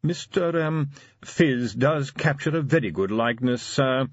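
A man voicing a deferential, unflappable butler in an audiobook, precise, measured and politely dry. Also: medium pitch, dry recording, dull audio, voice pushed up, close to an everyday voice. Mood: polite, formal. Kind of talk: audiobook character acting.

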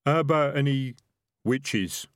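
A man playing a cautious, chatty highwayman in a storybook reading, matter-of-fact and methodical. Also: slow, no echo, clean studio sound, close to an everyday voice. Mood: methodical, wary.

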